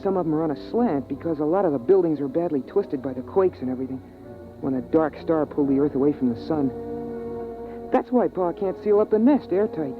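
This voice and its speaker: Earnest young boy played by a man, higher-pitched, homespun storyteller of a bleak world, vintage radio sound with music. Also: expressive, fairly quick, phone-quality sound, close to an everyday voice. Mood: wondering. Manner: plain, homespun, boyish phrasing. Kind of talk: 1950s radio drama acting and first-person narration.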